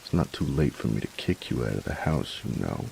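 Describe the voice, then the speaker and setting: A gruff young bully boyfriend, acted by a man in an intimate roleplay, with an extremely low voice full of heavy fry. He takes his time and teases with a tender undertone. Clear hiss and rain sit behind him. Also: full character voice. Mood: gruff, flustered. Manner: gruff, teasing, halting when tender. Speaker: voice actor in roleplay audio. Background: steady rain.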